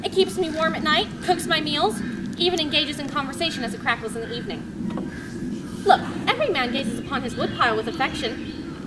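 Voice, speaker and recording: A woman's voice: high voice, heavy background noise. A woman reading as an earnest solitary woodsman philosopher. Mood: dreamy. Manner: earnest, solemn and a little defensive. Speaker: reader in a dramatic reading.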